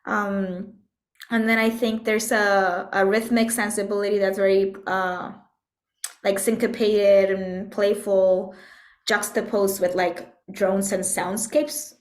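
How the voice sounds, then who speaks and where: A woman from Puerto Rico speaking as an interview guest, reflective and thoughtful, often pausing to search for words. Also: mid-range voice, little pitch movement. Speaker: interview guest, composer and musician. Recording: echoey room sound.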